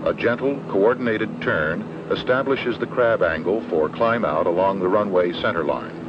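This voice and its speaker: Male instructional narrator, American, low voice, matter-of-fact and measured, 1970s film sound with clear background noise. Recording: dull, noisy old 16mm film soundtrack.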